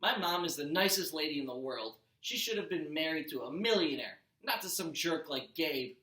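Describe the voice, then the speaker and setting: A man's voice: a little high, expressive, moderate pace, bright, forceful tone. A man, a teacher, narrating a first-person storybook aloud with expression. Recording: roomy echo.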